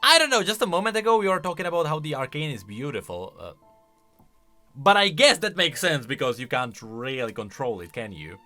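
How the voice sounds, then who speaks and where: A man giving casual, conversational reaction commentary as a video host, with an animated, questioning manner. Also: on the high side, a little fast, faint music behind. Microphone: close studio-style microphone.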